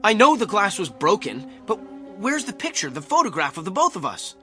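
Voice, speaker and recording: An actor playing a distraught husband in a radio drama, agitated, insistent and pleading. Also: male voice, high-pitched, very animated, fairly quick, pushed and bright, soft background music, exaggerated voice. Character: distraught husband convinced his face is a stranger's.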